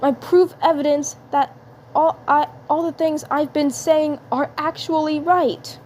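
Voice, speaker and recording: Woman doing an excitable high-pitched cartoon schoolboy. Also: measured pace, slight background noise. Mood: excited, vindicated. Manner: emphatic, pleading, repeats phrases. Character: excitable, insistent schoolboy convinced of aliens, cartoon.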